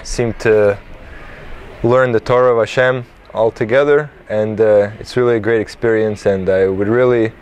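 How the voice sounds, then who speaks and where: A man's voice: on the low side, fairly even intonation, moderate pace, a little mellow. A man from the United States giving an informal testimonial as a student. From Atlanta, Georgia, USA. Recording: faint background ambient noise.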